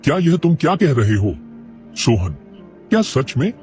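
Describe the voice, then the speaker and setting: A proud village priest in a cartoon story, voiced by a man. His mid-pitched voice rises and falls a lot, and his speech is formal and expressive. Faint music plays behind. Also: moderate pace, natural voice. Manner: expressive, proper. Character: proud middle-aged male village priest.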